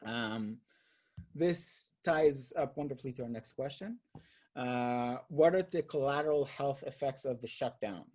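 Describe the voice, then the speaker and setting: Man, israeli accent, roundtable host, mid-pitched voice, thoughtful, halting delivery. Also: a little mellow. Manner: thoughtful, hesitant, repeats words while searching. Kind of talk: casual discussion.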